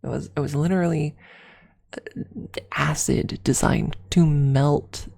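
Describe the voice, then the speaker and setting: A woman telling personal stories as an ASMR streamer, casually chatting in a hushed, unhurried way with frequent pauses. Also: low voice, steady tone, creaky. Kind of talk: ASMR storytelling, casual chat.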